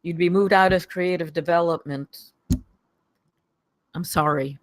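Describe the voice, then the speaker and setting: A man's voice: fairly high pitch, steady tone, measured pace, fairly bright tone, low-quality recording. A man reading the part of a weary, apologetic middle-aged studio executive at a screenplay table read. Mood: apologetic, heavy. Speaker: actor at a live table read.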